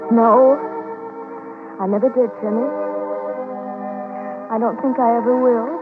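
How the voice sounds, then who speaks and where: A woman's voice: fairly high pitch, steady tone, measured pace, natural voice. Actress as sweet young woman, muffled old radio. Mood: tender. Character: sweet, brave young woman downplaying her frailty. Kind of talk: old-time radio drama acting. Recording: muffled vintage radio broadcast, music behind.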